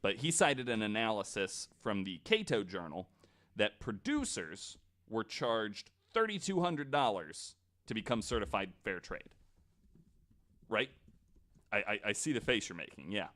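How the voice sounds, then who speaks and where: Man, lowish pitch, podcast host, casual explainer, halting delivery, informal and unpolished. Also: big pitch swings, a bit bright. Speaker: podcast host presenting a researched story.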